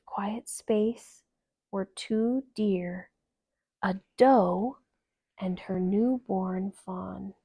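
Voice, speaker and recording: A woman narrates a children's storybook at a slow, deliberate pace. Her delivery is calm and gentle, with expressive phrasing, some vocal fry and hushed phrase endings. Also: mid-range voice. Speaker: storybook reader.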